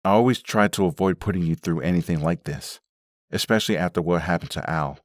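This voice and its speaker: Caring, serious husband male actor, deep register, measured everyday delivery, dry sound, for a comic drama dub. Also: no room sound, natural voice. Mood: tender, weary. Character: earnest, caring husband working through an investigation.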